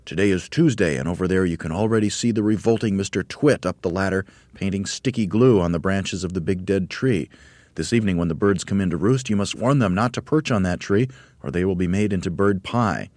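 A man's voice: low voice, a little fast, a little mellow, no room sound, lightly acted. A man voicing a male monkey father in a storybook, speaking earnestly and firmly. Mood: urgent.

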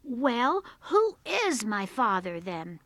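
A woman voicing a proud, impatient little boy who demands answers loudly and indignantly in a folk tale. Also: a little high, sing-song, slow pace, no room sound, heavily acted. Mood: impatient demanding. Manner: loud, insistent, indignant.

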